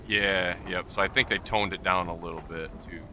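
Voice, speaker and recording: A man's voice: deep voice, fairly even intonation, moderate pace, noisy background. A man streaming a game, chatting casually with his friends and his viewers. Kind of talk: casual gaming banter with friends and stream chat.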